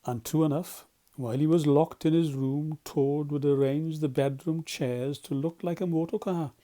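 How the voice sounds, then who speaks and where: A man reading a children's story aloud as a volunteer storyteller, with expressive scripted narration. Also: fairly high pitch, normal speed.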